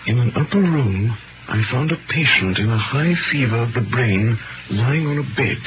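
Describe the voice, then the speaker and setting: An actor in a 1930s radio drama playing a grave, sorrowful physician, solemn, measured and melancholy. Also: male voice, mid-range voice, expressive, over loud music, natural voice. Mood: doleful, solemn. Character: grave, sorrowful imprisoned French physician recounting his ordeal. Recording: scratchy narrow 1938 radio broadcast.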